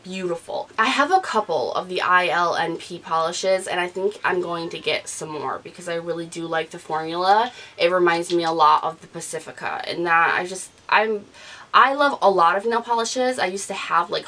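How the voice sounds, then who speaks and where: A woman in her thirties chats her way through her monthly beauty favorites, gushing and animated as she goes. Her voice sits a little low for a woman. She is recorded indoors on a close studio mic. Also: normal speed, low-quality recording. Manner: chatty, gushing, animated with hand gestures. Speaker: beauty vlogger. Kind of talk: casual product review talk. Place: living room, gray couch, indoors. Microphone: studio mic off camera.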